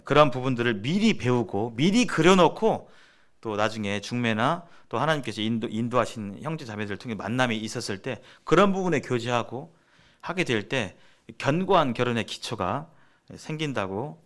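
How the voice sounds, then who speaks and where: A man preaching and teaching at a church seminar in an earnest, emphatic, exhorting way, repeating key words deliberately. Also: medium pitch, lively intonation, a bit bright.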